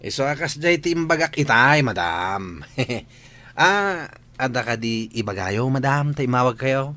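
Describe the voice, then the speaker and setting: A man's voice: medium pitch, animated, measured pace, pushed and bright. Man acting a flustered, swoopy-voiced Ilocano servant. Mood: deferential. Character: deferential but grumbling male household servant. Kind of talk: radio comedy drama acting.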